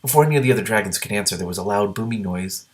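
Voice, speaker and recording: Low voiced guy reading a book out loud, gets excited and swears in his asides. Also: rapid-fire. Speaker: reader and commentator. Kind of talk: reading a book aloud with reactions.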